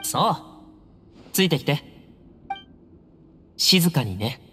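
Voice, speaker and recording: A guarded young temple heir in an anime game. The voice sits fairly high for a man and moves expressively, yet stays composed and firm. It rings in a long hall over music. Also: sing-song, slow pace, fairly bright tone, a little hiss or hum, full character voice. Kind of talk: Japanese anime game voice acting. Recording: reverberant hall, music underneath.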